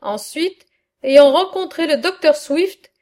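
Slow-reading woman with a high voice. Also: expressive, darkish tone. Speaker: reader. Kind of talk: reading a philosophical dialogue aloud.

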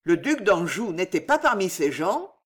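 A woman's voice: fairly low pitch, big pitch swings, normal speed. A woman voicing a courteous, resolute young male chevalier in an audiobook story, formal and probing. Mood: earnest, probing. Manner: formal, polite, questioning. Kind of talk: storybook character acting.

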